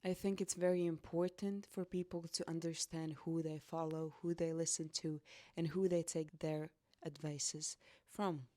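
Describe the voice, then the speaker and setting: A woman's voice: low-pitched, flat delivery, normal speed, warm tone, no room sound, clean studio sound. A young woman from Latvia hosting her own podcast, telling her life story in an earnest, reflective way. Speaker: podcast host. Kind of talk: personal storytelling monologue.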